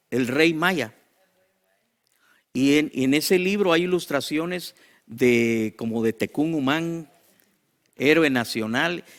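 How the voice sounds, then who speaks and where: A man with a Guatemalan accent preaching to a congregation as a pastor, in emphatic, repeated exhortations with deliberate pauses. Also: a little high, fairly bright tone. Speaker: pastor and teacher. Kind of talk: sermon to a congregation.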